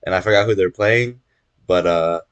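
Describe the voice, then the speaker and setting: A young man from California making casual conversation, relaxed, with lots of fillers and restarts. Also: sits low, moderate pace, clean tone, lo-fi sound. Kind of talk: casual chat.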